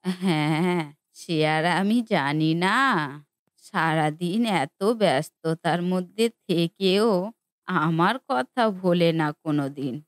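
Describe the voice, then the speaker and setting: A woman's voice: lowish voice, takes it slowly, dry recording, studio quality. A woman playing a doting elderly widowed mother in a cartoon family drama, speaking in a reflective, motherly way. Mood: fond, proud.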